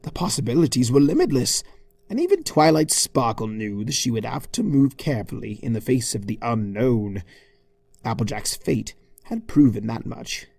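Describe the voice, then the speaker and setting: Comic audiobook narrator: arch, theatrical delivery, swooping intonation, mid pitch, dry recording. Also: big pitch swings, moderate pace, vocal fry, darkish tone, husky, no echo. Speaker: fan fiction narrator.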